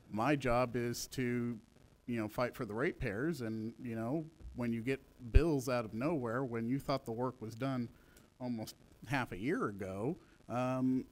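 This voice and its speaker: Board member guy sounding put out, defends himself, middle pitch. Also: rough recording. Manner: aggrieved, defensive, repeats himself. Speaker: board director. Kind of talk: public meeting statement.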